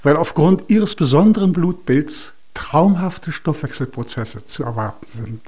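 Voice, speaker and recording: Mellow, deliberate secret-service doctor. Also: male voice, on the high side, expressive. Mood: solemn, emphatic. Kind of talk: radio drama dialogue.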